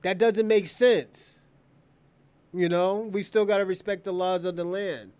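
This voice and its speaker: High-voiced man hosting a rambling livestream. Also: fairly even intonation, a little slow, darkish tone, clear, resonant tone, no echo. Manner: opinionated, rambling, repeats phrases. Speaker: live stream show host. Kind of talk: casual off-the-cuff livestream talk.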